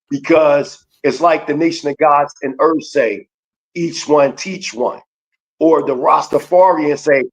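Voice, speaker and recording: Man, slightly raised pitch, slow emphatic delivery, repeats phrases. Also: rough recording. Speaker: guest teacher. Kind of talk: preaching-style lecture on a livestream.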